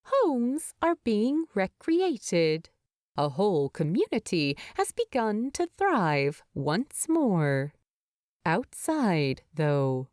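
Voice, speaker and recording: Female audiobook narrator: mellow tone, very varied intonation, expressive scripted storytelling with deliberate phrasing. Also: mid-range voice, no room sound, clean studio sound.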